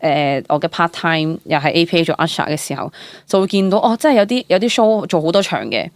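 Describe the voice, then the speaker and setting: A young woman with a low voice and a Hong Kong Cantonese accent, recorded close and dry. She talks casually as an actress being interviewed, colloquial and full of exclamations. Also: fast, dry recording. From Hong Kong, Southern District. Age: young adult. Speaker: actress, podcast guest.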